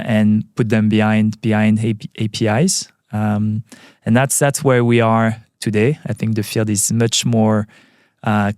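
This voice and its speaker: A man's voice: medium pitch, darkish tone, clean tone, dry recording, studio quality. A middle-aged man from France with a French accent, answering interview questions thoughtfully, with many fillers and restarts. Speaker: tech CEO guest. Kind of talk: podcast interview.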